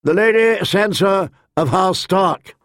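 A man voicing a royal herald who proclaims loudly and formally in a fantasy audiobook. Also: high-pitched, takes it slowly, dry recording, clean studio sound, voice pushed up. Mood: proclaiming.

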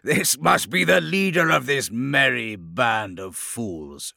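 A man's voice: medium pitch, lively intonation, slow, gravelly, pushed and bright, no room sound, clean studio sound, pitched above the performer's own voice, exaggerated voice. A man voicing a hulking, gloating plague warlord in an audiobook, with a guttural, bubbling voice and a grand, theatrical delivery. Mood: gleeful mockery. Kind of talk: audiobook villain acting.

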